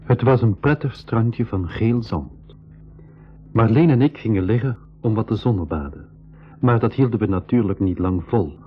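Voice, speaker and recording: Low-voiced male actor as radio storyteller. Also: lively intonation, lo-fi sound, soft background music, natural voice. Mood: reflective. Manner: measured, literary storytelling. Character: adult male narrator recounting his boyhood adventure. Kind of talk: scripted first-person radio play narration. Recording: boxy, band-limited 1980s radio recording.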